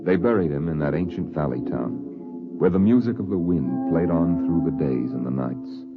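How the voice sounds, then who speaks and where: An actor as a grave literary storyteller, narrating a 1950s radio drama in a measured, solemn manner. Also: male voice, extremely low pitch, lively intonation, over loud music. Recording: dull vintage 1950s radio recording.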